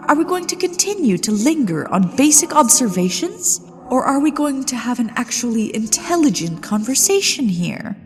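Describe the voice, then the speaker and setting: A woman's voice: high-pitched, big pitch swings. A woman playing a haughty, playfully menacing ghost lady of a haunted manor in a theatrical, teasing ASMR roleplay. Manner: theatrical, teasing, imperious, with drawn-out phrasing. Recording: long hall reverb with music behind.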